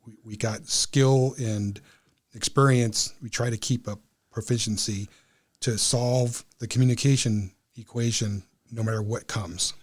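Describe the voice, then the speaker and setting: Man explaining haltingly, mid-pitched. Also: fairly even intonation. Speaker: amateur radio club member, guest. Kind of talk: explanatory interview talk.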